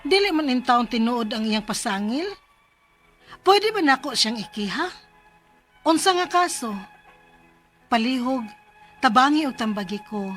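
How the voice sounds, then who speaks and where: High-voiced, aggrieved Cebuano woman. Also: animated, measured pace, pauses often, a bit bright, soft background music. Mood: plaintive, earnest. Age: 30s. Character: distressed thirty-year-old Filipina overseas worker writing for legal advice. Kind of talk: radio drama letter reading.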